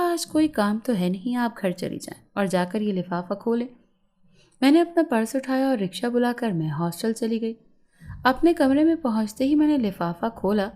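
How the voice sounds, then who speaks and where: A woman narrates a scripted story in the first person. Her voice is mellow and soft-edged, at a middle pitch for a woman. She delivers it as a storyteller reading a moral tale.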